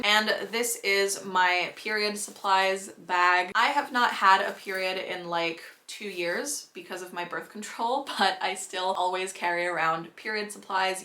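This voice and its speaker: A young woman from Romania with an American-sounding accent chats through a casual vlog, listing items with a self-amused air. Her voice is bright and clear, recorded on a camera mic in a small bedroom with some room echo. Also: lowish voice. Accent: American-sounding English from international school. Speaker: vlogger. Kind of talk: casual chat showing bag contents. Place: small bedroom, sitting on a bed.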